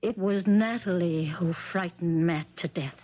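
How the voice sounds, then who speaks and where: A woman's voice: lowish voice, a little slow, lightly acted. A woman playing a shaken, earnest stepmother in a 1970s radio drama. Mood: hushed, haunted. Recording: muffled vintage radio broadcast.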